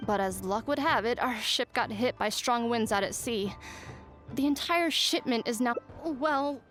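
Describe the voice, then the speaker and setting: An earnest young attendant from a video game, with a high voice. She is polite and wordy, hesitating and fretting as she explains herself. Music plays behind her. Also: a bit bright. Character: flustered young woman serving a noble clan commission, earnest. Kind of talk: English video game voice acting, scripted dialogue.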